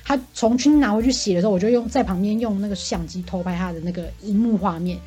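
A woman's voice: mid-range voice, fast, dark tone, soft background music. A woman with a Taiwanese Mandarin accent telling office anecdotes in casual, chatty, exasperated conversation. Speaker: podcast co-host venting about office life.

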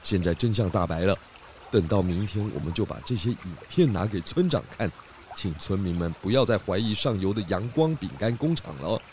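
An actor playing a calm, clever male pig detective in a children's audio drama. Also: lowish voice, a little fast, slight background noise, close to an everyday voice. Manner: steady, knowing.

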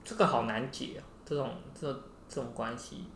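Very deep-voiced woman in casual chat. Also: moderate pace. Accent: Taiwanese Mandarin. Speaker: podcast co-host. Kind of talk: casual chat, questions and brief reactions. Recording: noisy, echoing room.

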